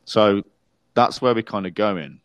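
A man with a low voice co-hosts a casual podcast. He explains at length, stopping and restarting often. The sound is dry and close. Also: a little slow, no echo. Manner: explains plans at length, many fillers and restarts. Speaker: podcast co-host.

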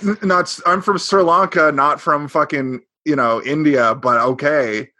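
Podcast co-host, man, casual sitcom recap; bright, tense tone; rambles and self-corrects, sarcastic. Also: on the high side, steady tone, measured pace, no echo.

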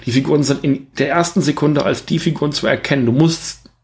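A man's voice: on the high side, steady tone, fast. German man chatting casually about film. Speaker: podcast co-host. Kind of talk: casual film review chat.

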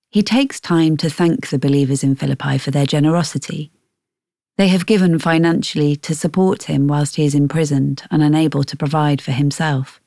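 A woman reading a scripted Bible devotional in a measured, reflective way. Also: deep voice, creaky, mellow, dry recording, clean studio sound. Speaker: devotional reader. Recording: faint music behind.

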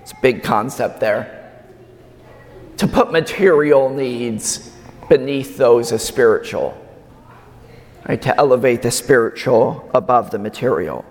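A man preaching a sermon in a slow, measured way with emphatic pauses, his voice slightly raspy. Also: medium pitch, expressive, darkish tone. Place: large church. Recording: long church reverb.

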